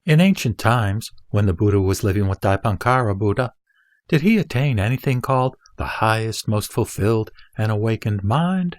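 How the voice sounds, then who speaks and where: A man playing a serene Buddha teaching a disciple in an audio drama of scripture, speaking in a measured, solemn, deliberate way. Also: fairly low pitch, animated, no echo, studio quality, close to an everyday voice.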